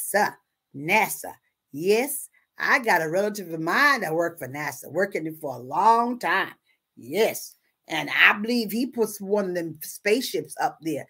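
A woman playing folksy, husky American church lady storyteller. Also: medium pitch, a bit bright, full character voice. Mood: excited. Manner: exclamatory, folksy, repeats interjections. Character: Sister Georgie, folksy exuberant older churchgoing woman storyteller. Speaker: children's storytime host. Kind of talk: dramatic read-aloud with chatty asides. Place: small room at home.

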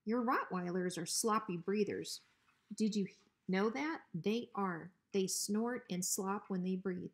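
A woman's voice: mid-range voice, slow pace. A woman narrating a children's storybook expressively, as when reading aloud to pupils. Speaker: school principal reading aloud.

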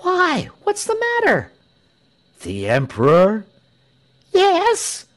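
A man puts on an excitable storybook villager, urgent and exclamatory. The pitch leaps high and swoops very widely in astonished cries. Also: drawn-out words, heavily acted. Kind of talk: storybook reading.

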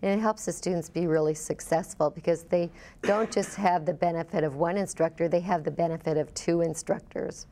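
A woman college instructor explaining a teaching program in a measured way. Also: lowish voice, steady tone, warm tone, a little hiss or hum.

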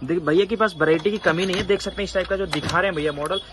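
High, fast-talking excited market vlogger man. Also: noisy background. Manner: excited, repeats prices, frequent "wow". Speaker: market vlogger interviewing a shopkeeper. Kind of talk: upbeat wholesale market vlog. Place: outdoor wholesale market street.

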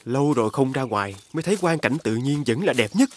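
A man voicing a kind, righteous young traveller in an animated fairy tale, polite and earnest. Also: mid-range voice, quick pace, lightly acted. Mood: concerned.

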